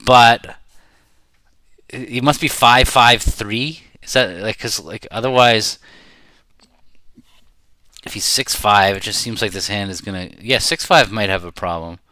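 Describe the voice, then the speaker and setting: A middle-aged man giving casual live commentary as he plays a card game, rambling and halting as he thinks aloud. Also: fairly low pitch, bright, forceful tone. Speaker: bridge player commentating while playing.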